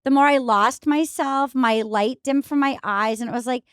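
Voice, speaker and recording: A woman in her forties with a high voice, chatting candidly on a podcast in an animated, rambling way. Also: normal speed, smooth, no echo, studio quality. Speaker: guest comedian. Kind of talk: casual podcast chat.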